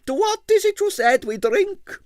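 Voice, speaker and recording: A man voicing an excitable older prima ballerina who talks shrilly in broken English. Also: very high-pitched, normal speed, dry recording, studio quality, pitched above the performer's own voice. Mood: excited.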